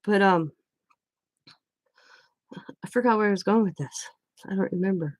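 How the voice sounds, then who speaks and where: A woman's voice: mid-range voice, measured pace, a little mellow, no echo. A woman from the Midwest, chatting casually and rambling while she crafts, laughing often. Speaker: craft vlogger.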